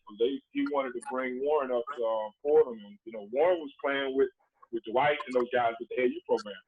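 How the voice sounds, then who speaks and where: A man, telling stories in a relaxed, earnest way with lots of "you know" fillers, heard over a remote call. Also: mid-range voice. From Dublin, Georgia, USA. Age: late 30s. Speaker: guest, former basketball player. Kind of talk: casual storytelling conversation. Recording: tinny phone-like call line with faint noise.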